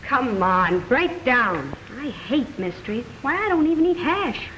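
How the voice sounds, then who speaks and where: A high, sharp-voiced leading lady in a vintage comic mystery film. She is sassy, nervous and indignant, always ready with a quip. The sound is dull and old. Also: very animated, slight background noise, exaggerated voice. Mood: sassy, curious. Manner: sassy, indignant, quick with quips. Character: wisecracking, nervous, indignant young female sweetheart. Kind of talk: 1930s-40s comic mystery film acting.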